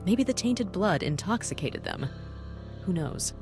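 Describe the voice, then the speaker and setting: Bossy woman operative voiced by a woman for a game, low register, brisk and dryly condescending, faint music underneath. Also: lively intonation. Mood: dry, cynical. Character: bossy, cynical woman running a secret task force. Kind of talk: visual novel voice acting.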